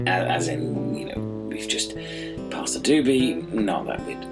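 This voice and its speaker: A man playing a dazed, dreamy castaway in a comedy audio sketch, rambling haltingly and repeating words. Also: sits low, animated, over loud music. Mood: sheepish, rambling.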